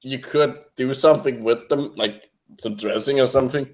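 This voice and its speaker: Halting non-native English-speaking man. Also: medium pitch. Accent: non-native English mixing American and British. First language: not English. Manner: hesitant, halting, searching for words. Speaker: podcast co-host. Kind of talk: casual science debunking chat.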